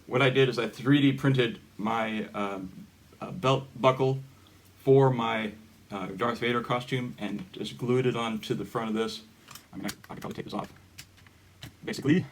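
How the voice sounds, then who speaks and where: Low-voiced man giving a halting casual tutorial. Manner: halting, rambling explanations. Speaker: hobbyist presenter giving a gear tutorial. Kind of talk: casual unscripted demonstration. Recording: noticeable background noise with clicks.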